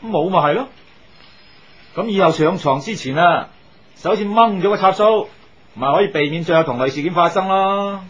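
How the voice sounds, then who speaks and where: Chatty workmate, slightly dull recording. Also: male voice, sits high, moderate pace, fairly bright tone. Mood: practical, advising. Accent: Hong Kong Cantonese. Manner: inquisitive, advising. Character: chatty male workmate, curious, practical, reassuring. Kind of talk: 1980s radio drama acting. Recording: slightly dull, noisy old radio recording.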